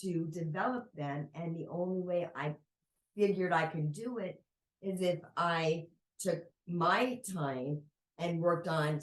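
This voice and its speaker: A woman guest on a podcast, telling a personal story at an unhurried, reflective pace. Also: sits low. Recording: roomy echo.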